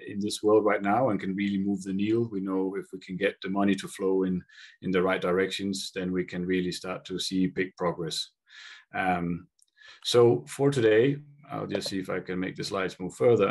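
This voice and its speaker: Low husky man with a Scandinavian accent. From Denmark and Sweden. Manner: many fillers and repeated words. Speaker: conference speaker. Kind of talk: conference presentation. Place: video link from Colombia.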